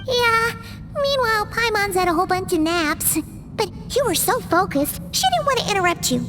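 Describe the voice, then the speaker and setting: A woman's voice: extremely high pitch, moderate pace, over music. A woman voicing a tiny, childlike, chatty fairy companion in an anime video game. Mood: cheerful, sheepish. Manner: refers to herself in the third person, excitable.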